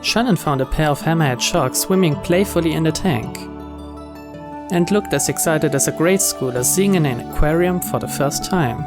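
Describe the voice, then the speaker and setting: A man's voice: fairly high pitch, normal speed. A man hosting a let's play, reading a visual novel's narration aloud. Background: game music.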